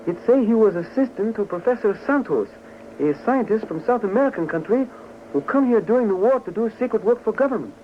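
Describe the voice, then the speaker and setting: An actor playing a loyal, cautious valet who speaks broken English in a 1940s radio drama. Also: male voice, high-pitched, lively intonation, a little fast. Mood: inquisitive. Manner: broken English, dropped articles and verbs. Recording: noisy, muffled 1940s radio recording.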